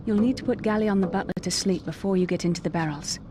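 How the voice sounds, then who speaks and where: A woman voicing a calm, secretive elf who gives guidance in a video game. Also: medium pitch, fast, close to an everyday voice. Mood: conspiratorial. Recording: noisy game ambience behind the voice.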